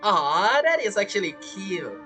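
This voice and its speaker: A woman's voice: medium pitch, big pitch swings, unhurried, sharp tone, faint music behind. A woman streaming a game with casual, chatty and playful commentary. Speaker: gameplay streamer.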